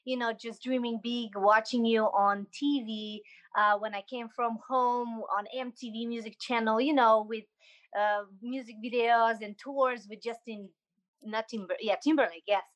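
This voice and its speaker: A woman's voice: fairly high pitch, fairly even intonation, a little slow. A woman from Slovenia with a Slovenian accent, speaking as a podcast guest, a dancer and choreographer, in enthusiastic, gushing casual chat.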